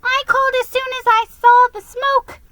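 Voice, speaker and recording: Woman putting on a nosy neighbor lady mouse, very high, shrill, excitable, storybook busybody. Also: normal speed, slight background noise. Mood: urgent. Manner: shrill, urgent.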